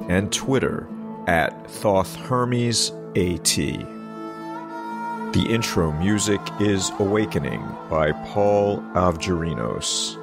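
A male podcast host reading sponsor spots and a guest introduction in a measured way, then chatting in a relaxed, hesitant manner. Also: low-pitched, vocal fry.

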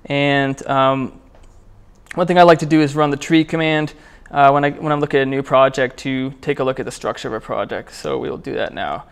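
Clear-voiced man giving a casual tech talk. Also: medium pitch, fairly even intonation, brisk. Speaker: presenter at a programming meetup. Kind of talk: casual technical talk with live demo. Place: indoor meetup room, speaking before a small group.

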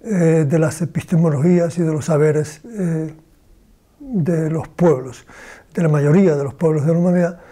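An older man from Cuba, a philosophy professor, answering interview questions slowly and deliberately in a small room with bookshelves, pausing often to search for words. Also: fairly high pitch, dark tone.

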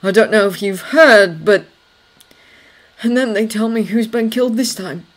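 A woman's voice: mid-range voice, normal speed, voice pushed up, natural voice. A woman reading the part of a grieving young male servant in an audiobook, cross and sarcastic, with curt British slang. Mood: bitter, upset.

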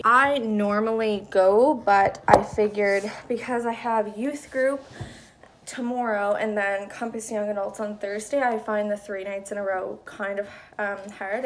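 A woman's voice: a little high, a little hiss or hum, low-quality recording. A young adult woman talking informally and rambling in a casual home vlog, recorded indoors on a handheld device at arm's length. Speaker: vlogger. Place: indoors in an apartment. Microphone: phone or camera at arm's length.

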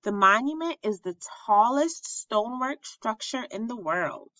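A woman reads a children's lesson aloud at an unhurried pace, with a bright, forward tone. She enunciates each phrase deliberately like a schoolteacher. The recording is close and dry, slightly dull in fidelity. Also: on the high side, expressive, dry recording. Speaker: elementary school teacher reading aloud.

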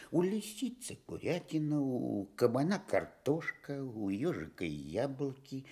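An actor playing a sulky, self-pitying male stork in a children's radio tale, husky and plaintive. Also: lowish voice, heavily acted. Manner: plaintive, grumbling.

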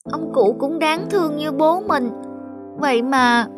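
A very high-voiced, caring little schoolboy. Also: female voice, expressive, measured pace, over music. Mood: pensive. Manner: childlike, earnest. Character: chubby little schoolboy, eager, caring, dutiful. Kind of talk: cartoon dubbing.

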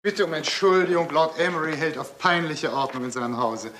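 A man's voice: fairly high pitch, fairly quick, a bit bright, lightly acted. A male actor playing a formal, discreet manservant in a German radio crime drama, stiff and deferential. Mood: discreet, grave. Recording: faintly noisy old radio play recording.

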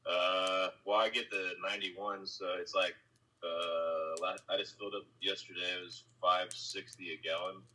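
A man's voice: little pitch movement, moderate pace, sharp tone, poor audio. A man from California talking hesitantly on a video call, with many fillers. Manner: hesitant, filler-heavy, low-key.

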